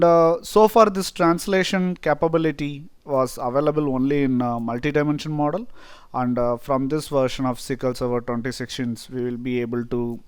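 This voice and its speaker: A man from India, a Tamil native speaker with an Indian accent, explaining a software feature step by step with many "uh" fillers. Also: medium pitch, clear, resonant tone, dry recording. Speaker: software tutorial presenter. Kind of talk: screen-recorded software tutorial.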